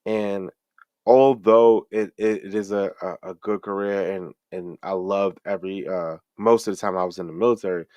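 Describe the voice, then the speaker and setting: Low, dry-sounding middle-aged man answering. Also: fairly even intonation, darkish tone, dry recording, lo-fi sound. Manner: rambling, halting, many restarts and fillers. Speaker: guest, fellow interviewer and streamer. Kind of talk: casual interview answers.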